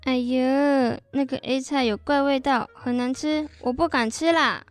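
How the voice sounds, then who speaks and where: A little girl played by a female performer with a high, very clear voice. She is a childlike, eager younger sister who speaks her mind plaintively in a children's radio drama, with faint music. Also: steady tone, unhurried. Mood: protesting.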